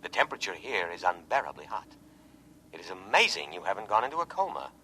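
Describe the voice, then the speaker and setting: A man's voice: low voice, very animated, fast talker, sharp tone, slight background noise, exaggerated voice, low-quality recording. A man in a 1950s radio drama playing a dutiful, solicitous military lifeboat machine that speaks formally and precisely. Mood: solicitous, courteous. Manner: formal, precise, patriotic, unyielding. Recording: vintage radio recording.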